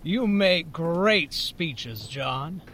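An actor playing an anxious, skeptical conspirator in a historical drama, speaking with pointed doubt. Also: male voice, fairly high pitch, expressive, drawn-out words, fairly bright tone, slight background noise, full character voice. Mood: scornful doubt.

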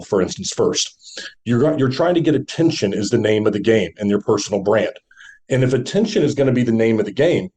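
A man with a deep, warm voice talks as a podcast guest. He coaches listeners confidently, leaning on rhetorical questions as he lays out his advice. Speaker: podcast guest, brand and media speaker. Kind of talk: motivational podcast talk.

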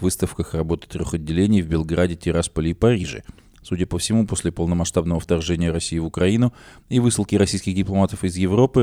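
A man reading a news report on a radio program, running through quotes in the same steady reading voice. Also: on the low side, no room sound, clean studio sound. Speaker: news presenter.

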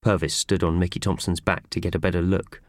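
A man narrating a children's audiobook in an expressive, scripted storytelling style. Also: sits low, a little fast, no room sound, clean studio sound. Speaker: audiobook reader.

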